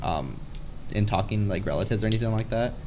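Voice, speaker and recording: A man's voice: lowish voice, brisk, darkish tone. Young man from Texas, lots of "like". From Rio Grande Valley, Texas. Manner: rambling, frequent "like" fillers. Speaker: student panelist. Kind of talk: casual panel discussion. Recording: faint background noise.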